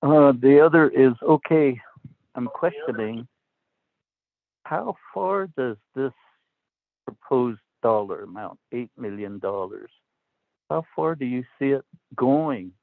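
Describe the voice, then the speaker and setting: A man questions an official in a slow, deliberate and stern way. His voice comes through dry and tinny, as over a phone line. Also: mid-range voice, expressive, vocal fry, no room sound. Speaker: council delegate. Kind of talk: formal committee questioning.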